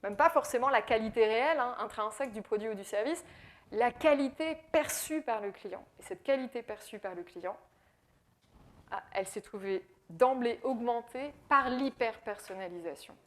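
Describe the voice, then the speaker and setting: A woman's voice: on the high side, lively intonation, moderate pace. A woman from France with a French accent giving a business presentation, explaining with frequent fillers and repeated words. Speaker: AI consultant speaker. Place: presentation in Quebec.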